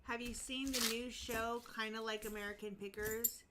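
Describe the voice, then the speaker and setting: Brief: female co-host, somewhat high voice, giggly, reads chat aloud, hiss behind her. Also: steady tone, normal speed, bright, forceful tone. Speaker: co-host reading out viewer chat. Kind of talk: casual live-stream banter.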